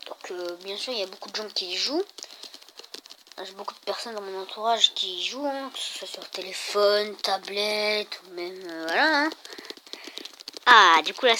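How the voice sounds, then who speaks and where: A woman's voice: fairly high pitch, sharp tone, slight background noise. A woman with a cold, chatting casually and rambling a little as she plays and explains mobile games. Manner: chatty, rambling, lots of fillers. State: has a cold. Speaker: gaming YouTuber. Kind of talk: casual gameplay commentary.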